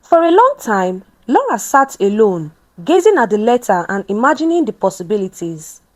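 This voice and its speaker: Female narrator for scripted family drama, higher register, lively intonation, measured delivery. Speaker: storyteller.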